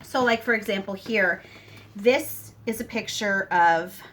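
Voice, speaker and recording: Bright-voiced woman explaining things casually. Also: medium pitch, measured pace. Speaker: homeschooling mother, video host. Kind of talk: casual explanatory talk to viewers.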